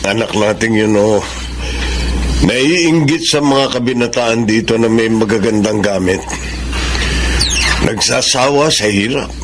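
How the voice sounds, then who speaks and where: A man's voice: lowish voice, a little slow, pauses often, close to an everyday voice. A man playing a stern, hardworking middle-aged farmer father, firm and exasperated with his son, in a Filipino radio drama. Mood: weary disapproval. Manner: firm, scolding. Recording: noisy radio drama broadcast.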